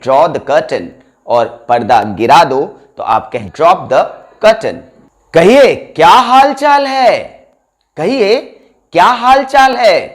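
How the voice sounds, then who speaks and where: A man with an Indian accent teaching spoken English, explaining quickly and then saying each example sentence slowly and carefully. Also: medium pitch.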